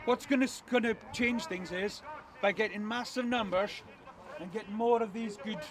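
A middle-aged man speaking to a protest crowd without a megaphone, projecting his big voice forcefully. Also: high voice, steady tone, normal speed. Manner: loud unamplified address, forceful. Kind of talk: street protest speech. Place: outdoors by a hotel car park. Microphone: far camera, no megaphone. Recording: distant outdoor voice, crowd noise.

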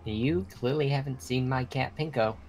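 A man's voice: medium pitch, normal speed, a little mellow, a little hiss or hum, natural voice. A man playing an eager, casual, slightly unsure trainee in an improvised Minecraft roleplay. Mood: curious, casual.

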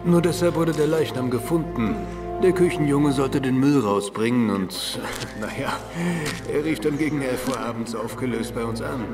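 A man's voice: medium pitch, normal speed, far from the mic, over music, close to an everyday voice. An actor playing a laid-back male police officer with dry gallows humor in a radio drama. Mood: explaining. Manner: dry, joking, matter-of-fact. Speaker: police officer at a crime scene. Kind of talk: German radio drama acting.

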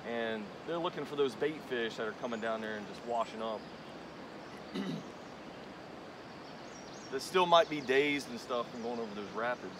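A man's voice: medium pitch, animated, noisy background. A young man hosting a fishing vlog, chatting casually and explaining his technique while casting from a riverbank, recorded outdoors on a camera set on a tripod. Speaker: fishing channel host. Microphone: tripod camera at a distance. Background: rushing river current.

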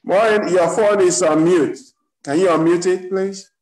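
A man with a slightly high voice leads a panel discussion over a video call, calling on speakers by name in a measured, polite way. His voice carries a noticeable room echo. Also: fairly even intonation, darkish tone. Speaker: guest moderator.

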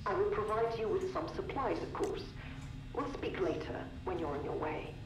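An actress playing a composed, authoritative woman scientist in charge, measured and formal, in a video game audio log. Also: mid-range voice, natural voice. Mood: cool, controlled. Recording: roomy, distant, noisy cassette tape recording.

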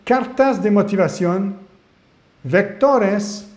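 A man's voice: high-pitched, drawn-out words, reverberant. French-Canadian man hosting a webinar. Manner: animated, asks the audience questions. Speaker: online marketing mentor. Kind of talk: webinar presentation.

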